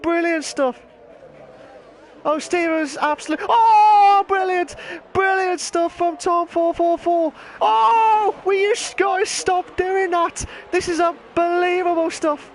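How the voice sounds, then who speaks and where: A man commentating a live sim racing league, rambling and excitable, voice wearing hoarse from shouting. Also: very high-pitched, takes it slowly. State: voice going hoarse. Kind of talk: live esports race commentary.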